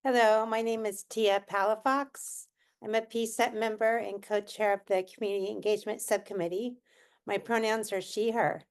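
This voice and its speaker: A woman's voice: medium pitch, fairly even intonation, moderate pace, clear, resonant tone. A woman, co-chair of a subcommittee, introduces herself cheerfully at an online meeting.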